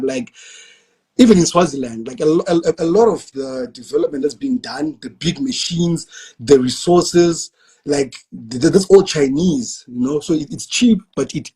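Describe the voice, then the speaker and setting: Husky-voiced South African man chatting. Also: on the high side, a little mellow. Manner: loose, laid-back slang, frequent restarts. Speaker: podcast guest. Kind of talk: casual chat.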